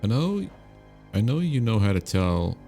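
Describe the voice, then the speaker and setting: A man's voice: lowish voice, big pitch swings, unhurried, mellow, soft background music. A man hosting a casual let's-play, offhand and wry as he comments and reads out dialogue choices. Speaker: gaming video host.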